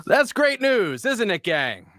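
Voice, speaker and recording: Man playing a charming, animated fantasy adventurer leader. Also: high-pitched, slow pace, dry recording, clean studio sound, full character voice. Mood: charming, upbeat. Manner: flattering, theatrically polite, persuasive. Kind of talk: audio drama table read.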